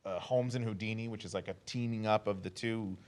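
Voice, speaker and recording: A man's voice: lowish voice, fairly even intonation, fairly quick. A man speaking as a comics company rep in a casual interview, joking and slangy, peppering his talk with "like". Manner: slangy, joking, heavy use of "like". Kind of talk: casual, animated interview chat.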